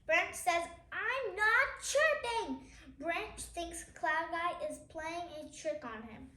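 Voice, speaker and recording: A high, young child's voice reads a storybook aloud at a slow, halting pace. Casual side comments break up the reading, and the room around the voice is echoey. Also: animated, pushed and bright. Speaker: child reader, host of a reading channel. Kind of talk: reading a picture book aloud with casual asides.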